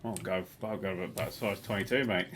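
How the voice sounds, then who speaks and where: Very deep, teasing, sweary streamer. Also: male voice, quick pace, fairly bright tone, slight background noise. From south of England. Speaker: streamer playing a game. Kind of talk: casual livestream chat.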